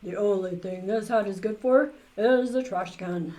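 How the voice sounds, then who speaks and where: A woman voicing a greedy, bumbling male stage magician from a storybook in a loud, theatrical, declaiming way. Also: medium pitch, measured pace, close to an everyday voice, poor audio. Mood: grasping. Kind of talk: children's storybook character voice.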